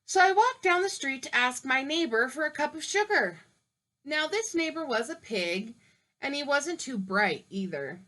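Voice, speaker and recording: A woman's voice: high-pitched, very animated, normal speed, bright, forceful tone, pitched above the performer's own voice, exaggerated voice, poor audio. A woman voicing a male wolf who narrates his own version of a storybook in a chatty, persuasive way. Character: smooth-talking male wolf telling his side.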